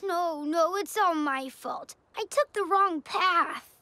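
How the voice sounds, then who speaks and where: A performer voicing an eager, stubborn little wolf-cub boy in a children's cartoon, excitable and impulsive. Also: very high-pitched, slow, no room sound, heavily acted. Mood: regretful. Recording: music behind the voice.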